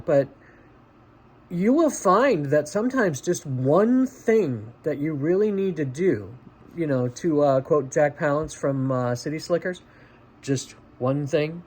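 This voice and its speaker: A man's voice: fairly high pitch, darkish tone. A man talking casually and directly to the listener like a friend, in an encouraging, conversational motivational vlog style. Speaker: vlog host.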